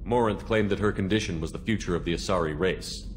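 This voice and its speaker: An actor playing a steady, businesslike military commander in a video game, asking direct questions. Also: male voice, low-pitched, fast talker, long reverb tail, a little hiss or hum, natural voice. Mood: probing, sympathetic. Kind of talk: video game dialogue acting.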